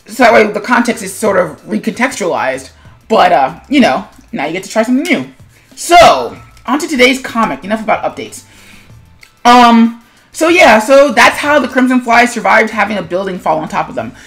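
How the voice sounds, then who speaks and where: A woman's voice: medium pitch, very animated, moderate pace. Casual, rambling woman over music. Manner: rambling, self-deprecating, conversational. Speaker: webcomic animator and video host. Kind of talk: casual commentary vlog on own animation.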